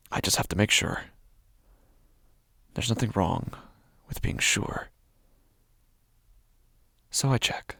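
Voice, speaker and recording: An actor playing an anxious, obsessive man narrating his sleepless nightmares in a halting horror audio monologue. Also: low voice, vocal fry, slightly rough, no echo, clean studio sound, heavily acted. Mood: uneasy doubt. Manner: halting, hushed, often breaking into whispers. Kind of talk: first-person horror audio fiction monologue.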